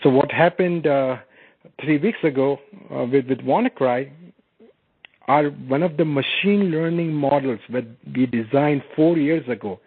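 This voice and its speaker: A man giving an explanatory product presentation on a conference call, speaking haltingly with frequent filled pauses. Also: mid-range voice, husky. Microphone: conference call phone line. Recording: muffled conference phone line.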